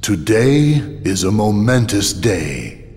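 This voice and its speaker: A solemn, grandiose leader of a secret order, with a low voice that sweeps widely in pitch. He proclaims slowly and ceremoniously, pompous in every phrase. The voice rings through a long hall reverb. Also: very animated, a little mellow, exaggerated voice. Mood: solemn, grand. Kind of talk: theatrical game voice acting, formal proclamation.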